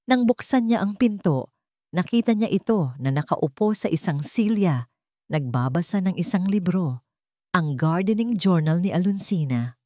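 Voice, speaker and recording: A woman narrates a scripted romance story in a dramatic storytelling style. Her voice sits slightly low for a woman. The recording is dry, with hardly any room sound. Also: animated, moderate pace, warm tone, dry recording.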